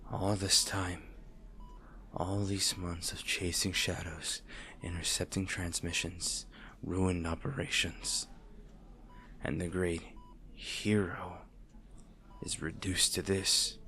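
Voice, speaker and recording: Guy doing a bitter brooding villain for an audio roleplay, really slow n hushed, dramatic pauses, bit of background noise. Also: low-pitched, full character voice. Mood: quiet contempt. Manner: slow, hushed, theatrical delivery with deliberate pauses. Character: brooding male supervillain, bitterly attached to his fallen rival. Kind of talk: dramatic audio roleplay monologue, addressed to the listener.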